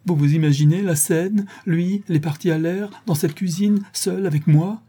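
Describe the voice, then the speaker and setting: Frightened young servant woman, played by a male reader, pleading and husky. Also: high-pitched, normal speed, dark tone, voice pushed up. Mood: distraught. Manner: pleading, distraught, words tumbling out.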